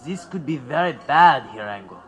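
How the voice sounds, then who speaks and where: Nervous, bright-voiced immigrant man, swooping pitch. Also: animated, normal speed. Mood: worried. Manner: anxious, hesitant. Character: nervous, worried German immigrant radical. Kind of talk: audio drama acting.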